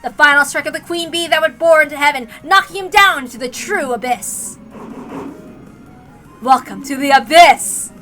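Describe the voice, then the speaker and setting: A woman narrating a visual novel aloud in an expressive, dramatic storytelling style. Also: sits high, fairly quick, hardly any pauses, soft background music. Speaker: narrator, reader.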